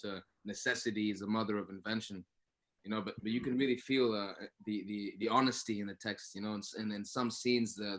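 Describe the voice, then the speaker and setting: Low-voiced man chatting casually. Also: a bit bright. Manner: repetitive, peppered with "you know". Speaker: actor. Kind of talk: casual interview chat.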